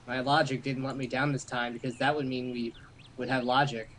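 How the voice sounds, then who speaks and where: A young man of middling pitch reads lines from a visual novel in a casual way. He sounds goofy and irreverent, like an amateur among friends. The home recording carries clear noise. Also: level tone, fairly quick, fairly bright tone. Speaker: amateur reader of the hero's thoughts in a let's play. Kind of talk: casual read-aloud with joking asides.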